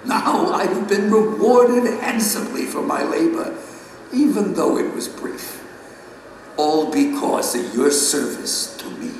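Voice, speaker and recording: An actor playing a gentle, reverent guide in a heavenly kingdom, speaking formally in an audio drama. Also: male voice, on the high side, animated. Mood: earnest gratitude. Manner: solemn, measured, formal. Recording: echoey great hall, distant, faint noise.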